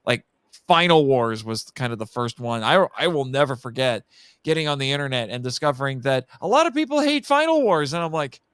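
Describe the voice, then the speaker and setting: A man's voice: medium pitch, lively intonation, a little fast, fairly bright tone, clean tone, no echo. A man talking casually and enthusiastically about films as a podcast guest, with a jokey streak. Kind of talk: casual film discussion.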